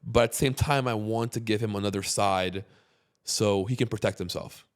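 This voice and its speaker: Mid-thirties queens guy, kinda low voice, blunt and jokey, swears a lot. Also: normal speed. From New York, Queens. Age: 30s. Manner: blunt, joking, swears freely. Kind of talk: casual chat.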